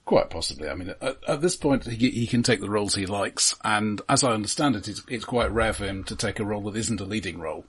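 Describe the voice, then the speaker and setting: A man with a husky, lowish voice, speaking as a podcast co-host. He talks about films conversationally, in a measured and analytical way. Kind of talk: casual film discussion.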